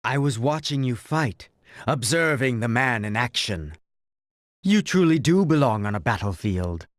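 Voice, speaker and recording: A man's voice: mid-range voice, animated, a little slow, no room sound, studio quality, natural voice. A man playing a smug, cryptic rival in a video game, drawing out knowing taunts. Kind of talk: video game cutscene acting.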